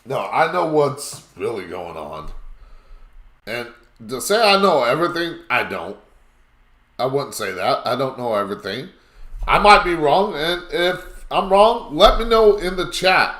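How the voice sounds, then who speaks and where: A man with a raspy voice hosting a live call-in talk show, talking in a rambling, emphatic way. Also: fairly high pitch, sing-song, a little slow, fairly bright tone, echoey room.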